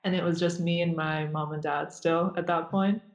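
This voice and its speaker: A woman's voice: lowish voice, steady tone, moderate pace. A young woman from Nazareth, a guest in a casual interview, talking hesitantly with many fillers and restarts. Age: young adult.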